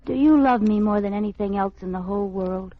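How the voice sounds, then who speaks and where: An actress playing a playful, scatterbrained, affectionate housewife, coy and teasing, in an old radio comedy style. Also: female voice, mid-range voice, a little fast, lightly acted. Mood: playful, wheedling. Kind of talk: 1940s-50s radio comedy acting. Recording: dull, muffled old radio recording.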